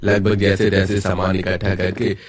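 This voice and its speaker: A man's voice: low voice, monotone, normal speed. A man narrating a scripted story in a dramatic storytelling style.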